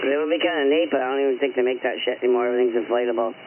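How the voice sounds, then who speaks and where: Quick-talking man through loud CB hiss. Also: medium pitch. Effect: CB single-sideband radio. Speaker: CB radio club member. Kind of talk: casual chat. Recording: tinny CB radio, loud hiss.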